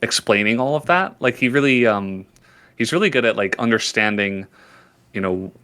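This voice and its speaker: A man, an esports commentator, answering interview questions in a laid-back, hesitant way with many pauses and restarts. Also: medium pitch, animated, sharp tone. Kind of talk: casual interview chat.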